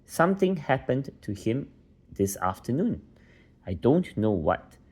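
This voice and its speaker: A man's voice: medium pitch, big pitch swings, unhurried, darkish tone, slightly rough, pitched above the performer's own voice. A man voicing a cross, emphatic old granny in a children's storybook. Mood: puzzled.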